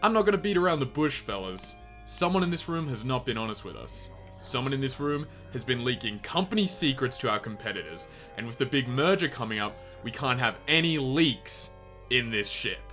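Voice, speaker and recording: A man's voice: mid-range voice, sing-song, brisk, a bit bright. Paranoid company boss, male actor, comedy sketch: hard-boiled, melodramatic, tense and punchy, music underneath. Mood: stern, suspicious.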